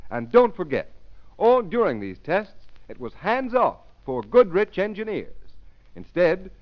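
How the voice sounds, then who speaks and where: Male 1930s radio announcer, emphatic pitchman, very lively intonation, muffled vintage recording. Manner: emphatic salesman delivery.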